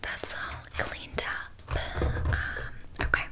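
Flaky, scammy witch for whispered ASMR roleplay, played by a woman: soft breathy whisper, halting casual rambling, blithely unbothered. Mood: breezy, unbothered.

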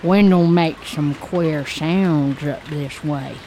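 An older backwoods woman with a drawl, blunt and foreboding in a radio drama. She speaks very slowly, drawing out each word, with faint noise in the background. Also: sits low, warm tone. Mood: matter-of-fact. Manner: folksy rustic dialect, plain-spoken. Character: old rural woman guide, blunt and ominous. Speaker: local guide.

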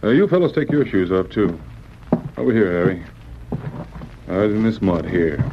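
An actor playing a steady, commanding and shrewd Mountie sergeant in an old-time radio drama, speaking firmly and deliberately. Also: male voice, deep voice. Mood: firm, methodical. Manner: firm, orderly, deliberate. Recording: muffled old radio transcription, faint noise.

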